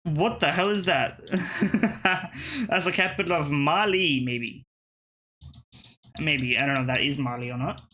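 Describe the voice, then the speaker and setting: A young man with a bright, slightly high voice, muttering and cursing his way through a geography guessing game. Faint keyboard typing sits behind his talk. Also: a little slow, long pauses, a little hiss or hum. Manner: thinking aloud, profane, muttering and restarting. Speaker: streamer playing web games. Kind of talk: casual gameplay commentary.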